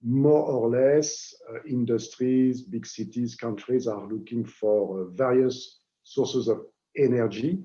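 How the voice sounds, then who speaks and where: A man with a French accent giving a webinar presentation, pausing often with "uh" between words. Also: mid-range voice, mellow. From France. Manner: halting, with frequent "uh" fillers. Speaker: guest presenter. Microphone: video call. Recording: dull lo-fi online call audio.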